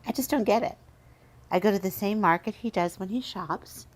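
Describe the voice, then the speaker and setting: A woman's voice: medium pitch, normal speed, close to an everyday voice. A woman playing a vain, sulky seductive spirit in a radio horror drama, lamenting with a pout. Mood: frustrated.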